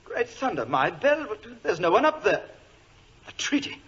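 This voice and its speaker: A jittery young british clerk, high bright voice, stammers and exclaims, old muffled radio play. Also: male voice, sing-song, moderate pace. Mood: panicked. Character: anxious, excitable young English government clerk. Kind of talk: 1940s radio drama acting. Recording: dull, lo-fi old radio recording.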